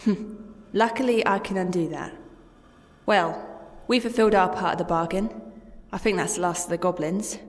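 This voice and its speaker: A woman's voice: fairly low pitch, moderate pace, long pauses, natural voice. Level-headed adventurer played by a woman in reverb. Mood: composed. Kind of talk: fantasy audio drama acting. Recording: long reverb, music behind.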